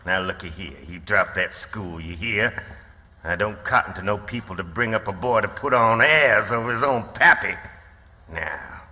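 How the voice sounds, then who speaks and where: A man's voice: fairly low pitch, normal speed, bright, forceful tone. An actor playing a menacing, drunken old backwoods father with a rural Southern American accent, sneering and taunting in radio drama style. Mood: taunting, angry. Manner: sneering, taunting, folksy dialect. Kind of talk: theatrical radio drama acting. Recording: long hall reverb.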